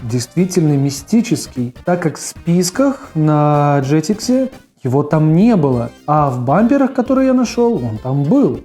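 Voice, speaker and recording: A man's voice: on the high side, very animated, measured pace, mellow, soft background music. A man giving scripted video narration as host, mixed with his own personal commentary on old cartoons.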